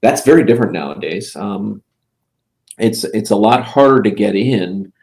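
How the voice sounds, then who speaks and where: A man in his fifties, a game studio head and guest, giving relaxed interview answers with many "uh" and "um" pauses. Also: lowish voice, gravelly. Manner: halting, full of "uh" and "um" fillers.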